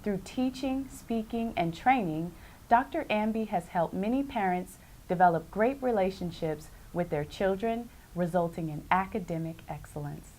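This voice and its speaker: A woman TV host who introduces a guest and interviews her, giving frequent soft affirmations as she listens. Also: mid-range voice, expressive, moderate pace, slight background noise.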